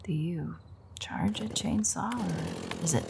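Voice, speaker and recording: A woman playing a sardonic, teasing female vampire mechanic in an audio roleplay, with dry sarcasm and a husky, gravelly, breathy delivery. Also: lowish voice, slow, very noisy, exaggerated voice. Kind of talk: audio roleplay acting, intimate one-sided dialogue.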